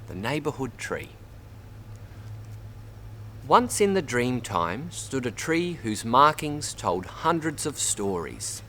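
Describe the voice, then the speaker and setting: An Australian man reads a children's story aloud in an expressive way, addressing young listeners as a storyteller. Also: mid-range voice, takes it slowly, pauses often, a little hiss or hum. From Bundaberg, Queensland, Australia. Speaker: storybook reader. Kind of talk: read-aloud children's story, narration with word teaching.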